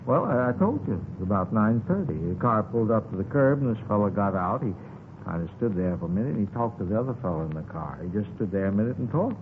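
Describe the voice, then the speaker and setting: An American actor playing a chatty, folksy newsstand owner who rambles off the point, in 1950s radio drama style. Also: male voice, fairly low pitch, big pitch swings, fast talker. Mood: excitable, rambling. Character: chatty, rambling newsstand owner, a married man witnessing a shooting. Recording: noisy, tinny 1950s radio recording.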